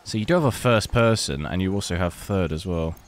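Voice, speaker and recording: Brief: hoarse man, lowish voice, rambling gameplay commentary, faint noise behind. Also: a little fast. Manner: relaxed, rambling, thinking aloud. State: hoarse, losing his voice. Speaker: PC gaming benchmark channel host.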